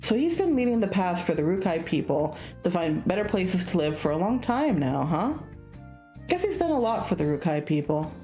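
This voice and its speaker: A woman's voice: lowish voice, sing-song, normal speed, mellow. A woman reading a visual novel aloud in a casual, informal let's-play style. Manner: informal, stumbles over unfamiliar names. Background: music.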